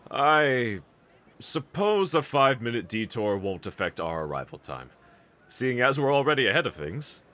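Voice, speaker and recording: A man's voice: mid-range voice, sing-song, moderate pace, pushed and bright. A man playing a bold, earnest, reassuring demon slayer swordsman in an anime audio roleplay, speaking with formal, courteous diction. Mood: curious, puzzled.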